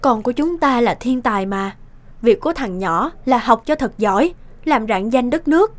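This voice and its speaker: A woman voicing a doting but stubborn, pushy mother in a cartoon, insistent and brisk. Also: a little high, expressive, natural voice. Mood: doting, urging. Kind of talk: Vietnamese cartoon dubbing.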